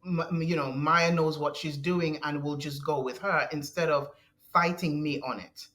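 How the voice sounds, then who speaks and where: Woman with a deep low voice, podcast guest, earnest, halting, restarts often. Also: fairly even intonation. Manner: earnest, halting, with frequent restarts and soft laughs. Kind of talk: conversational interview.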